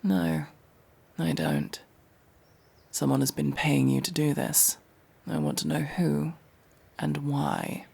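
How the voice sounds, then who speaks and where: Male actor as masked elf interrogator, very creaky rasp, slightly raised male pitch, sardonic, menacing, fantasy roleplay. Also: big pitch swings, darkish tone, heavily acted. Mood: cold, probing. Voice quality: gravelly, husky. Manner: slow, menacing, dry sarcasm. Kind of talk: fantasy audio roleplay acting.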